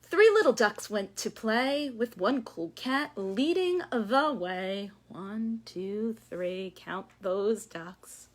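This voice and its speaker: Slow-paced woman hosting children's storytime. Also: mid-range voice, lively intonation. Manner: addresses young children, invites counting and joining in. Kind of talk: children's read-aloud and sing-along.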